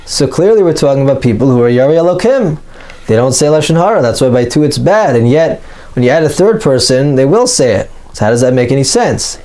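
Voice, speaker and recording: A man's voice: mid-range voice, animated, a little fast, dark tone. A man giving an explanatory religious lecture as a teacher, working through an argument methodically and analytically, stressing his key points.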